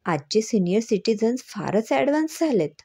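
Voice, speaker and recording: Woman doing a cheeky young daughter, lifted pitch. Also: very animated, moderate pace, dark tone, husky, no echo, studio quality, full character voice. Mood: surprised.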